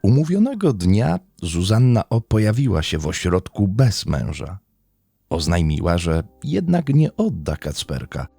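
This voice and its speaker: Low, gravelly male crime narrator. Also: huge pitch swings, unhurried, mellow, dry recording. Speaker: true-crime podcast narrator. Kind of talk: scripted narration of a crime story.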